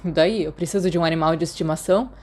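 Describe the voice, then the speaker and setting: A woman's voice: lowish voice, quick pace, a little mellow, natural voice. A woman voicing a guarded, anxious teenage schoolboy in an audiobook, blunt and sceptical. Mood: wary, sceptical. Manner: blunt, wary. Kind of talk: audiobook character dialogue.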